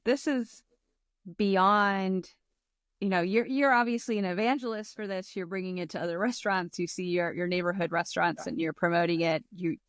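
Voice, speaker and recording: A woman's voice: on the low side, no room sound, clean studio sound. A woman hosting an interview, asking questions and affirming her guest. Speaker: podcast host.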